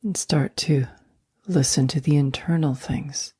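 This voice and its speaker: Woman, meditation guide, soft mellow tone, breathy half-whisper, slow gentle phrasing, close dry recording. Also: low voice, no room sound. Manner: slow, gentle, soothing, with long pauses.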